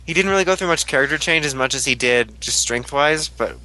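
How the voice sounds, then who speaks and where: A man's voice: fairly high pitch, brisk, sharp tone, low-quality recording. A young man in casual podcast chat, joking crudely and using lots of "like" fillers. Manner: crude jokes, frequent "like" fillers.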